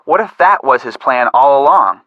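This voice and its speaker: A man playing a supportive young amateur detective, a level-headed older brother who gently teases, in a video game. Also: mid-range voice, animated, moderate pace, no echo, narrow, tinny audio, lightly acted. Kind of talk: scripted game dialogue.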